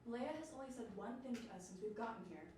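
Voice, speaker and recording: A woman's voice: on the high side, fast talker, warm tone, lightly acted. A woman on an amateur stage playing the stubborn, bossy, logical side of a young artist's mind, defensive and insistent. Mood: curt, dismissive. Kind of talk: amateur one-act stage play acting. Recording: echoey stage, distant mic, noisy.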